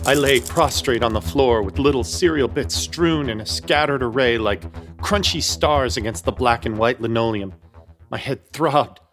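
A man's voice: medium pitch, moderate pace, fairly bright tone, natural voice. Actor as wry, uneasy male narrator. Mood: tense, unsettled. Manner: florid, wry storytelling. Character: intrepid, uneasy male apartment hunter narrating his ordeal. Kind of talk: scripted first-person horror comedy narration.

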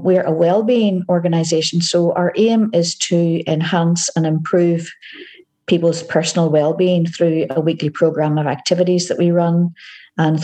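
A woman with a Northern Irish accent, a community organisation director, giving calm, measured answers as a podcast interview guest. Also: deep voice. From Northern Ireland.

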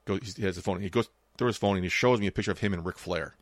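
Fast-talking thirties man, dry close sound. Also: deep voice, no echo. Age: 30s. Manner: swears freely, tells anecdotes with quoted dialogue. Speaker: podcast co-host. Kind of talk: casual chat.

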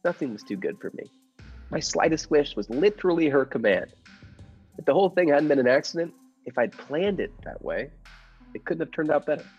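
Guy acting as nervous henpecked husband telling his story radio-play style, bit husky, music behind him. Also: fairly high pitch, animated, brisk, darkish tone, dull audio. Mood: pleased, hesitant. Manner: hesitant, earnest, formal storytelling. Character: meek, nervous henpecked husband confessing his murder scheme. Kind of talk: improvised-cast classic radio play narration.

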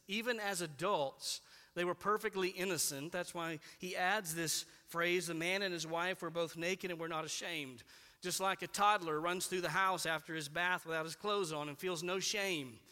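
Bright-voiced preacher in a reverberant hall. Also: male voice. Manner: earnest, building emphasis then dropping low on key words. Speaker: pastor. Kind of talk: sermon.

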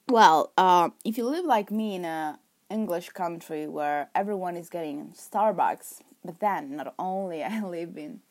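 Young Italian woman vlogger, lowish voice, rambling chatty delivery, accented English. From Italy. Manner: chatty and rambling, with self-corrections and laughs. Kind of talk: casual chat to camera.

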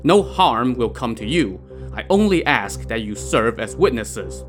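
A man's voice: medium pitch, very animated, measured pace, soft background music. A man voicing a vengeful, fierce constable in a story, roaring threats and giving stern commands. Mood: menacing.

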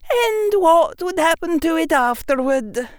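A performer voicing a grave older witch professor, soft-spoken, measured and formal. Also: animated, no echo, studio quality. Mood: soft, probing. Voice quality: soft, high voice.

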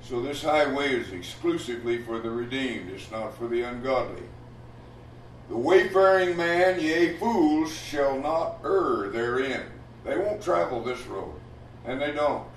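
A man's voice: medium pitch, expressive, a little slow. A man teaching scripture in a big, emphatic voice that carries through the room. He keeps checking in with rhetorical questions. He's picked up from some distance in an echoey hall, with faint noise underneath. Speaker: Bible class teacher. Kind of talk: Bible study sermon. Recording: roomy hall, mic from afar, faint noise.

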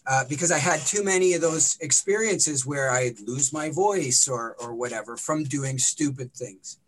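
A middle-aged man, a rock vocalist with a smoky, slightly raspy voice, chatting casually and rambling with lots of fillers. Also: mid-range voice, moderate pace, rough recording. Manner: relaxed, rambling storyteller with many fillers. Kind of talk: casual interview chat.

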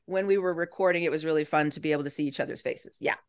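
A woman's voice: low voice, rapid-fire, clear, resonant tone, no room sound, studio quality. A middle-aged actress chatting casually as a podcast guest, often cutting into her own sentences in a playfully theatrical way.

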